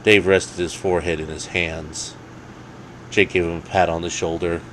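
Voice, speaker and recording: Deep-voiced man narrating a story. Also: flat delivery, moderate pace, a bit bright, slight background noise, poor audio. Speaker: author and narrator.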